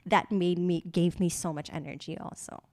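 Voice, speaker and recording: A woman's voice: fairly low pitch, steady tone, a little mellow, no room sound, studio quality. A young woman from the Philippines co-hosting a casual couple's podcast, reading out questions and chiming in supportively. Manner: supportive, reads out questions, quick affirmations. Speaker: podcast co-host.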